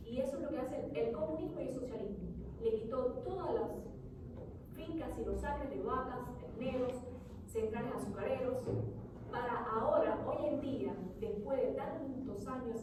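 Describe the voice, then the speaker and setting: A middle-aged woman from Cuba with a Cuban accent, giving earnest, halting impromptu testimony at a public event. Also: a little high. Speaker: audience member giving personal testimony. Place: event hall, recorded from a distance. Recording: echoey hall, distant mic, noisy background.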